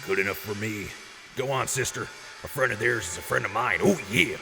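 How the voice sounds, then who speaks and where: A deep, gravelly, boisterous arms dealer. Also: male voice, normal speed. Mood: proud, easygoing. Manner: hyped showman with booming catchphrase outbursts. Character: boisterous, generous wasteland quartermaster and arms dealer. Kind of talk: post-apocalyptic audio drama acting. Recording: noisy, reverberant mix.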